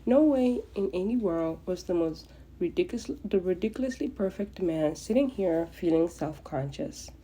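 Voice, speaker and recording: A woman's voice: on the low side, lively intonation, moderate pace, mellow, slightly rough, rough recording. A woman narrating a piece of romantic fan fiction as a podfic reader.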